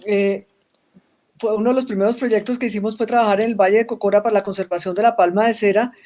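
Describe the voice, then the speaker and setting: A Colombian woman with a fairly high voice talks reflectively as a guest in an online conversation. She often circles back, restarts her phrases, and checks in with the listener through little tag questions. Her voice comes through a video call. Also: warm tone. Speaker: webinar guest. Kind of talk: casual interview conversation.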